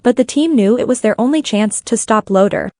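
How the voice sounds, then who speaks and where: Higher-voiced woman narrating a story, dry sound. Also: lively intonation, a little fast, darkish tone, no room sound. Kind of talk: scripted fan fiction narration.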